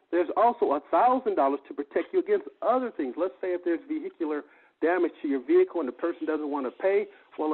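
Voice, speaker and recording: The high, raspy-edged male voice you'd hear dialing into an evening business opportunity call, emphatically selling point after point. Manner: emphatic, repetitive, rhetorical questions. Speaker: sales presenter on a conference call. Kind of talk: sales pitch explaining benefits. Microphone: telephone. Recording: muffled phone conference line, lo-fi.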